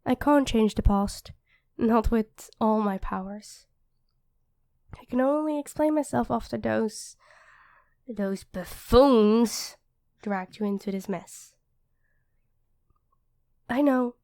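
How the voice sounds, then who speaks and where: A woman's voice: fairly high pitch, takes it slowly, long pauses, mellow, dry recording. A woman playing a feisty, protective demon queen who is a devoted girlfriend, commanding yet affectionate, in an ASMR roleplay. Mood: tender, earnest.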